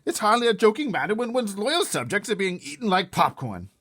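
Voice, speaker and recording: A man's voice: high voice, big pitch swings, fairly quick, a bit bright, pitched above the performer's own voice, full character voice. A man voicing a flustered, testy, indignant Swedish king in a storybook read-aloud. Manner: exclaiming, flustered and outraged. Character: panicked, testy King of Sweden, shrill and indignant.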